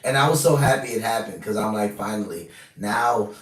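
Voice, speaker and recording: A man's voice: on the low side, lively intonation, fairly quick, roomy sound, distant mic. A man hosting a casual news reaction live stream, talking straight to his chat, his voice a little scratchy. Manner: chatty, talks straight to chat. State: slightly scratchy voice.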